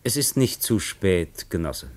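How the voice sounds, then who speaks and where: A cool, commanding revolutionary leader in a radio drama. The voice is fairly low, and the delivery is formal and measured. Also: male voice, big pitch swings. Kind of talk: radio play acting.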